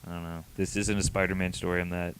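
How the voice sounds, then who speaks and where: A man's voice: sits low, little pitch movement, fast, fairly bright tone, clean tone. A young adult man on a review panel, chatting casually in a laid-back, hedging, mildly critical way. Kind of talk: casual group review chat.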